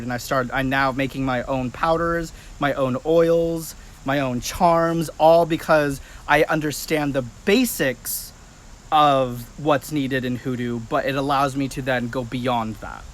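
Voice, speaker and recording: A man's voice: medium pitch, steady tone, measured pace. A man in his late twenties chatting casually and informally to viewers on a livestream. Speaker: livestream host, practitioner of folk magic.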